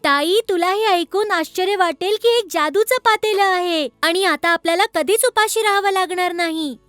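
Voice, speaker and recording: Very high, innocent young girl, played by a woman. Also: normal speed, fairly bright tone, no room sound. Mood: bright, eager. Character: kind-hearted poor young girl, innocent and eager. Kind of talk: children's cartoon story dialogue.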